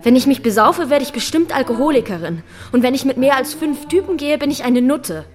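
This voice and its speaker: A young actress playing a questioning, defiant teenage daughter in a radio drama, talking candidly and searchingly. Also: female voice, sits high, lively intonation, fairly quick, faint music behind. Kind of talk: German radio drama acting.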